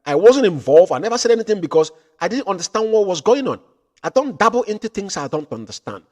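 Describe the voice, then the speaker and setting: A man hosting a political commentary show from home, building into loud, impassioned rants. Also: high-pitched, big pitch swings, quick pace. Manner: rises to loud impassioned ranting. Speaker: show host.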